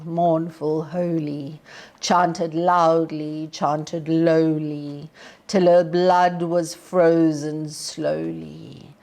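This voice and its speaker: A woman teacher reading aloud as a storyteller, with a theatrical, measured delivery that can drop to hushed, breathy tones. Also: mid-range voice. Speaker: teacher reading poetry to pupils. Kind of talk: dramatic poetry recitation and storytelling.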